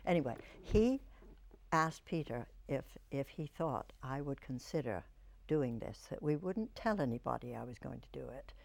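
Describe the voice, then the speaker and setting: An older woman, an actress, reminiscing in an interview, telling stories in a reflective, halting way. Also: a bass voice, expressive, creaky, a little mellow.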